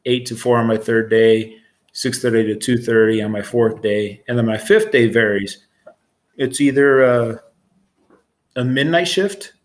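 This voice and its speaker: A man in his forties with an American accent, explaining his work as a supervisor in an informal interview, plain-spoken and matter-of-fact. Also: fairly low pitch. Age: 40s. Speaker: air traffic control supervisor.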